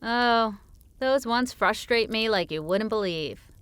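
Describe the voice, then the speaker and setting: A playful, affectionate wife acted by a woman in audiobook dialogue. Her voice is smooth and clear with animated, swooping intonation, natural and gently teasing. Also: a little high, slow pace. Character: teasing, affectionate wife and author in cozy conversation.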